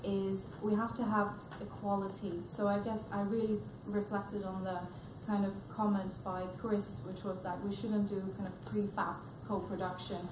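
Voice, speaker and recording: A woman on a research panel talks things through with frequent restarts and a thoughtful, hesitant delivery. Her voice sits at a middling pitch. The room around her rings with echo, and steady noise runs underneath. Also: normal speed, few pauses. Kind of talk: panel discussion remarks. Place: conference room, panel event.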